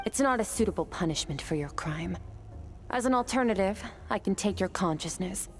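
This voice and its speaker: A woman's voice: mid-range voice, expressive, normal speed, close to an everyday voice. An actress playing a cool-headed, resolute swordswoman in a video game, with composed, formal and matter-of-fact delivery. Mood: cool, firm. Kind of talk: dramatic video game acting. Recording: game music behind the voice.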